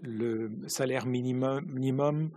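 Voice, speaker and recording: A man doing simultaneous interpretation of parliamentary speeches, halting, with uneven pacing and frequent restarts. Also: medium pitch, fairly even intonation, a little mellow, dry recording.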